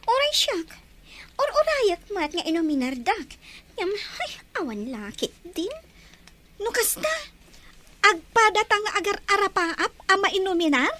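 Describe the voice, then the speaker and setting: Very high-voiced, plaintive young student played by a woman. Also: big pitch swings, measured pace, bright, forceful tone, slight background noise, exaggerated voice. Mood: wistful. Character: young female student, insecure about looks, plaintive, self-doubting. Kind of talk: Ilocano audio drama acting.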